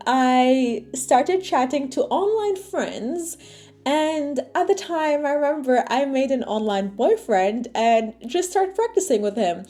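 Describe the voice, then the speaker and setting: A young woman whose first language is Arabic, chatting casually to camera as a vlogger, full of fillers and asides. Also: high voice. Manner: chatty, animated, lots of fillers. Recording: faint music underneath.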